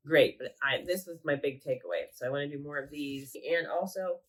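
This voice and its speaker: A woman's voice: very deep voice, rough recording. A woman with a nasal voice who is sick and congested, chatting casually in a rambling, self-correcting way while showing her craft projects at home. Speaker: craft vlogger. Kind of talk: casual show-and-tell vlog.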